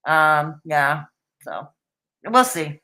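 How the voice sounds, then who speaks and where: A woman's voice: low-pitched, lively intonation, very slow, sharp tone. A woman streamer giving sarcastic, mocking commentary on a live stream.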